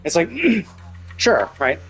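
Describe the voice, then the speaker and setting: A man's voice: a little high, wildly swooping pitch, drawn-out words, fairly bright tone. Man musing over a game. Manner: speculative, repeats "like" and "right?". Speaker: game livestreamer. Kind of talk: casual live game commentary. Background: game music.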